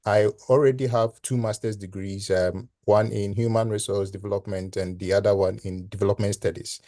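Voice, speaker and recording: Man, low pitch, warm tone, measured, formal. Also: fairly even intonation, dry recording, clean studio sound. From Ghana. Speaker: student, event attendee. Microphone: video call.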